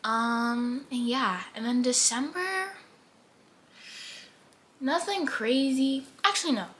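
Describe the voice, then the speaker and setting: An eighteen-year-old woman chatting casually as a vlogger, full of "like" fillers and drifting between lively and hushed. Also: high-pitched, takes it slowly, slight background noise. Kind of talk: casual chat.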